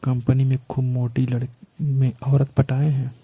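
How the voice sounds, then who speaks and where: A man's voice: mid-range voice, monotone, unhurried, dark tone, slightly rough, no room sound. A man playing a pushy, flirtatious neighbour in a staged phone conversation, coaxing in colloquial speech. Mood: gossiping, goading.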